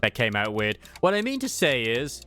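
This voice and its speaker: Male fan dubber, lively and expressive game narrator, light music underneath. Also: mid-range voice, normal speed. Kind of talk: dramatized first-person story narration of a game.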